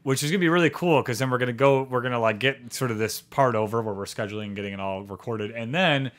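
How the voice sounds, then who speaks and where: A man's voice: mid-range voice, fast talker. Laid-back man in his thirties, rambling. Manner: relaxed, rambling, trails off often. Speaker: podcast co-host. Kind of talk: casual podcast chat. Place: home studio with record shelves. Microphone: studio mic on a boom arm.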